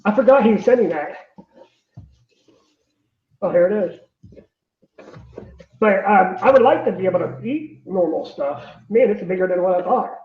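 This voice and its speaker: A man in his late thirties on a video call, chatty and halting, laughing often between words. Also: sits high, lively intonation, echoey room, phone-quality sound. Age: late 30s. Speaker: guest. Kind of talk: casual video call chat.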